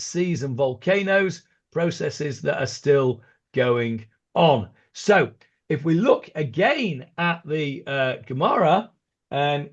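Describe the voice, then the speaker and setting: A man leading an informal online Talmud class over a video call, hesitant, with many ums and restarts and chatty asides. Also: on the high side. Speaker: rabbi teaching a Talmud class. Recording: dull lo-fi video call audio.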